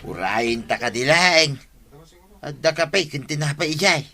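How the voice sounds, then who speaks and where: A man's voice: on the high side, expressive, a little slow, sharp tone, heavily acted. A man with an Ilocano accent playing a practical, firm village husband in a radio comedy drama.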